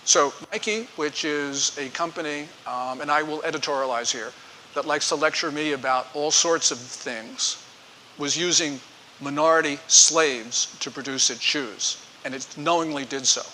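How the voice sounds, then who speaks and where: Forceful man lecturing in a hall. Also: fairly high pitch, measured pace. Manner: forceful, emphatic, editorializing. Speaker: guest speaker. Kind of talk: lecture with audience Q&A. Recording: reverberant hall with faint hiss.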